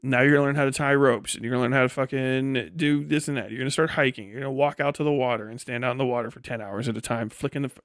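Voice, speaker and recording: A man's voice: mid-range voice, fairly even intonation, very fast, hardly any pauses, a bit bright, no echo, clean studio sound. A man hosting a livestream, chatting casually and answering viewers in a blunt, heavily profane way. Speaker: livestream host and commentator.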